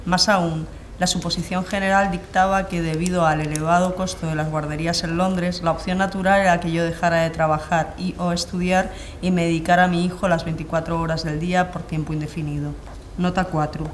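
A woman reads an essay aloud in a flat, even voice with little rise and fall. A faint hum of a large room sits behind her. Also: lowish voice, brisk. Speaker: reader in a shared reading group.

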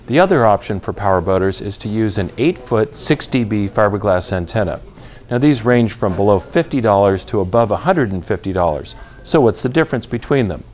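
Male product presenter, 50s, slightly low pitch, methodical instructional delivery. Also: darkish tone. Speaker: product presenter in a buyer's guide. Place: large retail store, indoors. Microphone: lapel mic.

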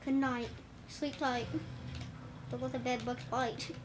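A woman's voice: fairly high pitch, little pitch movement, slow pace. Casually swearing woman chatting and joking while playing a shooter split-screen on a TV, filmed by phone. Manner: swears, jokes and laughs a lot. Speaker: gamer filming split-screen play. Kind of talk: casual gaming chat. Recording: noisy room recording.

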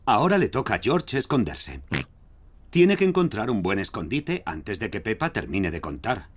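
Lively male cartoon narrator from a Castilian dub. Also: fairly low pitch, moderate pace. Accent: Castilian Spanish. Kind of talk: scripted children's cartoon narration. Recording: music behind the voice.

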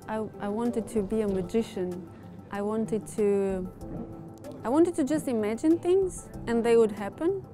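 A young woman from Albania, a master's student, speaking earnestly in an interview with small restarts. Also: fairly high pitch. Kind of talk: interview testimonial. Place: university campus indoors. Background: background music.

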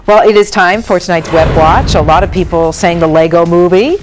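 A woman's voice: lowish voice, fairly even intonation, fairly quick, over music. A woman hosting a live TV news show, interviewing guests in a studio. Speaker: TV news host.

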